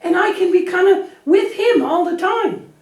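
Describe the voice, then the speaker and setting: An echoey, distant recording of a tiny lamb puppet with an extremely high voice. The little creature is naive and anxious, chattering earnestly and repeating itself as in a children's puppet show. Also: female voice, moderate pace, a little mellow. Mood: relieved, earnest. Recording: echoey room, distant microphone.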